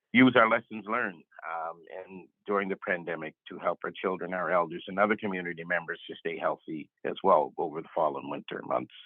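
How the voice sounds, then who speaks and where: Man, raspy from a cold, very low voice, halting, lots of fillers, dry phone-line sound. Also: no room sound. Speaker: health director and board member. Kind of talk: formal welcome remarks.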